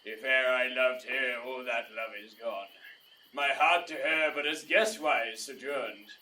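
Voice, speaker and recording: A man's voice: mid-range voice, unhurried, pushed and bright, recorded from a distance, poor audio. An actor playing a besotted young suitor in a Shakespearean audio drama, declaiming his verse in an extravagant, theatrical way. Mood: scornful, defiant.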